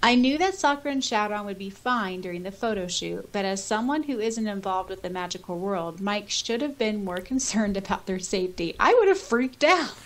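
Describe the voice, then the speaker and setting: A woman's voice: mid-range voice, animated, normal speed, few pauses. Woman, podcast co-host, casual chat, reading comments aloud, giggly, conversational delivery.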